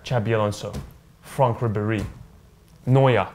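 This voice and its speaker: A young man co-hosting a casual football chat show on a studio sofa, hyping segments with loud chanted shouts. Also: on the low side, fairly even intonation, a little slow, slight background noise. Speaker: co-host. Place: studio set with sofas.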